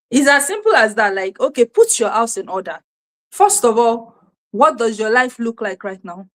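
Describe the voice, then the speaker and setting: A Nigerian woman whose first language is Yoruba, hosting a motivational livestream, repeating key words and asking her audience rhetorical questions. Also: fairly high pitch. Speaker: livestream host and self-help coach. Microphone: streaming setup, talking to camera.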